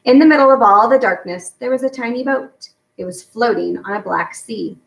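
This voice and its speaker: Middle aged lady reading a kids book out loud, bit of vocal fry, echoey garage. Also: a little high, normal speed. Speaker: storyteller reading a children's novel aloud. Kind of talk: read-aloud narration of a children's book. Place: home garage, indoors. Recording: echoey room, distant mic.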